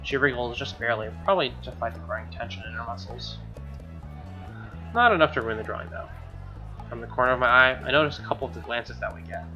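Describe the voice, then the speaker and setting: A man's voice: mid-range voice, quick pace, pushed and bright. A man reading a visual novel aloud as a let's play host, with wry, joking casual asides. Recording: dull lo-fi sound, music behind.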